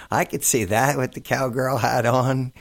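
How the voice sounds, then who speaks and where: A man hosting an interview show, chatting casually and joking with quick asides. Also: medium pitch, fairly even intonation, creaky.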